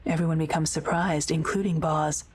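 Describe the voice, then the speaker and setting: A woman's voice: low voice, steady tone, moderate pace, husky. A woman reading scripted scene narration for an audio drama.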